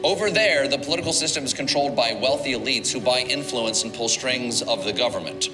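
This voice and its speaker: A man delivers satirical, explanatory commentary at an ordinary male pitch. Music plays clearly behind his voice. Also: a little fast, poor audio. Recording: clip with music underneath.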